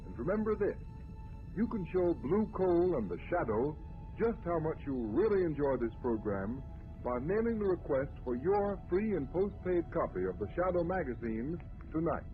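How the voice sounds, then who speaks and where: A man's voice: a little high, big pitch swings, normal speed, soft background music. A male radio announcer with an emphatic, salesman-like delivery in 1940s radio style. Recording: dull old radio broadcast.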